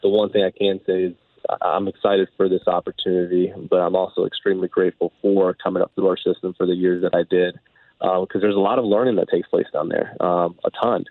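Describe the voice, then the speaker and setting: A man's voice: low-pitched, little pitch movement, fairly quick, no echo. A man in his thirties, a baseball manager, talking earnestly on a radio interview with lots of fillers and restarts. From United States, Dominican background. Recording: tinny phone line.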